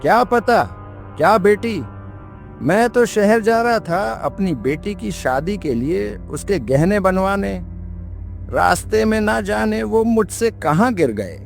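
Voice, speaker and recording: A man playing a sorrowful old village father in an animated moral story, speaking plaintively with a creaky voice. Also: high-pitched, slow pace, faint music behind, heavily acted. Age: older.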